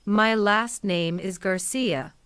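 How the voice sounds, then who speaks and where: A woman reads short English phrases for a language lesson, slowly and evenly. Her voice is smooth and crisp, and the recording is dry. Each line is a separate prompt with a pause after it. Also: medium pitch, fairly bright tone, no room sound. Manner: clear, measured prompts with pauses. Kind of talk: slow scripted phrase reading.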